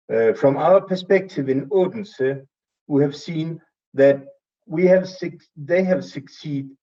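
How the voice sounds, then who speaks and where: A man from Denmark with a Danish accent, giving a measured, somewhat halting introduction at an online event. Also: medium pitch, mellow. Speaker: city official introducing a speaker. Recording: distant muffled mic.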